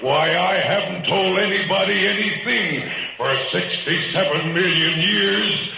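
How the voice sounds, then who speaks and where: An actor playing an ancient, gruff, taunting giant in a 1940s radio drama. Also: male voice, high voice, a little slow, over music. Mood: mocking. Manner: taunting, laughing, slangy. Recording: echoey reverberant old radio, noisy.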